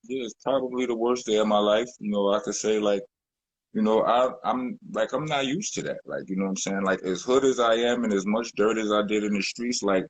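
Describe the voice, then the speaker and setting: A man with a very deep, husky voice, speaking as a rapper in a sit-down interview. He rambles and circles back, filling his talk with "you know" and restarts. The sound is dry and close. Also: a little fast, dry recording, poor audio. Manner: rambling, many fillers and restarts. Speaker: rapper, guest.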